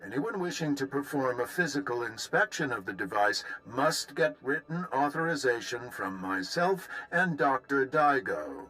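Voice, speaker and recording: A man's voice: mid-range voice, sing-song, moderate pace, hardly any pauses, pushed and bright, faint music behind, poor audio. An actor playing an officious male company director reading formal, bureaucratic staff announcements in a game. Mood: officious, smooth. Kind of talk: scripted public announcement.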